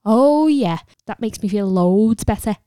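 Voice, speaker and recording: A woman's voice: fairly even intonation, a little slow, darkish tone, no echo, clean studio sound, lightly acted. A woman voicing a frightened, sarcastic young woman who protests in a raised voice. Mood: panicked. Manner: shrill, protesting.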